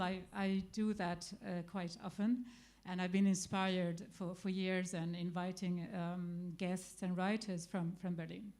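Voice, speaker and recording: A woman's voice: fairly low pitch, dark tone. A middle-aged woman with an Arabic accent, speaking English as a third language at a festival talk. She speaks off the cuff, with frequent fillers and restarts. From Iraq. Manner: hesitant, many fillers and restarts. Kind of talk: spontaneous public talk.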